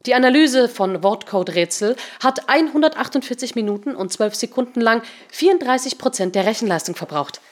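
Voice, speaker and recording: A woman narrator voicing a naive, emotionless artificial intelligence in an audiobook, with a measured, clipped, stilted delivery. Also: a little high, animated, pitched above the performer's own voice, natural voice. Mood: matter-of-fact.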